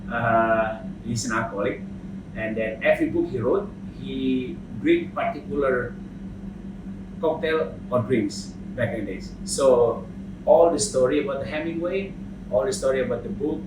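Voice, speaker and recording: A middle-aged Indonesian man with an Indonesian accent, a bartender talking in an interview, halting with many fillers and repeated words. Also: mid-range voice, noisy background. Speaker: bartender and bar founder. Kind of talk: interview monologue. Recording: roomy sound from a distant mic, clear background ambience.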